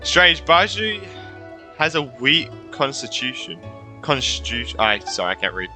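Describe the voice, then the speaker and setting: A man's voice: mid-range voice, sing-song, unhurried. A man giving casual let's play commentary, reacting aloud to a game in a giggly way. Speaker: game streamer. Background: game music.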